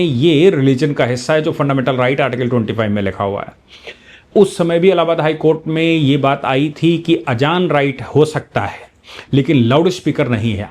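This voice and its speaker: Guy doing current affairs commentary, voice a bit on the high side, teacher type, says stuff twice to drive it home. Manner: emphatic, repeats phrases for emphasis.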